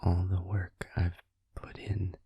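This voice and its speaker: A man playing a gentle, supportive vampire boyfriend in an intimate ASMR roleplay, in a breathy, soothing whisper with pauses. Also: low-pitched, completely flat delivery, no room sound, lo-fi sound, heavily acted. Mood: confiding, pained. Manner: soft, soothing, hesitant pauses.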